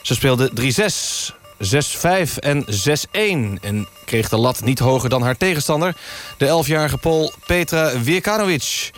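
A man playing a serious radio newsreader, delivering a scripted satirical bulletin in deadpan fashion. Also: mid-range voice, measured pace, faint music behind, natural voice. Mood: deadpan, solemn. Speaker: news presenter.

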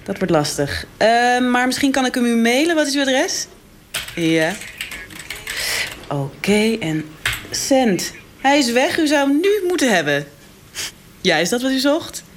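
A woman's voice: fairly high pitch, very animated, unhurried, long pauses. A woman playing a chirpy, helpful telephone operator in a satirical radio drama, polite and sing-song on her end of a call. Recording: clear background office noise, clicks.

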